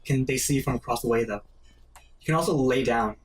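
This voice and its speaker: A man's voice: mid-range voice, fast. A man giving casual, explanatory commentary while a video game is played. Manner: explanatory, conversational. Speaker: gaming commentator. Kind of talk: casual let's play chat. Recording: distant mic, game music behind.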